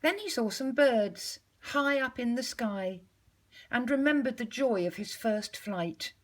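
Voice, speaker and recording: A woman reading a storybook aloud to young children like a schoolteacher, in an expressive, measured way with deliberate pauses. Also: on the high side.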